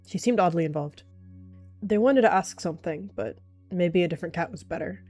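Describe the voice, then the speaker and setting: A woman's voice: on the low side, fairly quick, warm tone. A woman narrating an animal fantasy roleplay in the third person, as a storyteller. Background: faint music.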